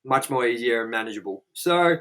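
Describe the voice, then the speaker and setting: A man's voice: mid-range voice, animated, a little fast, fairly bright tone. A man hosting a casual movie review show, jokey and loose, swearing freely. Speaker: show host.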